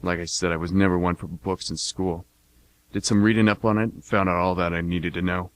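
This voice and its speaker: An actor playing a blunt, cocky American mercenary war veteran, talking in a folksy, plain-spoken way in a radio play monologue. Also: male voice, deep voice, steady tone, brisk, dry recording, lightly acted. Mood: matter-of-fact, boastful.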